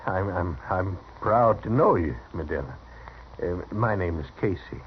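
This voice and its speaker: A low but swooping rural American cowboy, talkative and boastful. He stammers and fawns like an excitable hanger-on in an old radio Western. The recording is dull. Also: measured pace, slight background noise. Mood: fawning. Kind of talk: 1950s Western radio drama acting.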